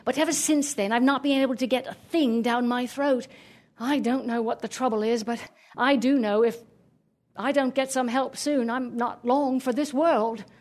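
A woman's voice: high-pitched, steady tone, moderate pace, darkish tone, lightly acted. A woman voicing a starving, polite English setter dog with a genteel, sorrowful English accent. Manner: polite, genteel, self-effacing.

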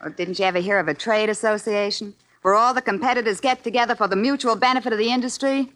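An actress playing a brisk, streetwise, brassy woman of the betting underworld in an old-time radio crime drama. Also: medium pitch, a bit bright, lightly acted. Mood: goading. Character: brassy, savvy gangster's girlfriend or betting customer. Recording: old radio show recording.

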